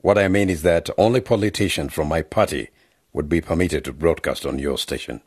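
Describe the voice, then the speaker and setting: An actor playing a scheming male politician who tries to buy off young journalists, talking down to them with a husky voice. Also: rumbling low, a little fast, dry recording, studio quality, exaggerated voice. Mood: persuasive. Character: scheming middle-aged male politician offering bribes. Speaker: member of parliament. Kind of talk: radio drama acting.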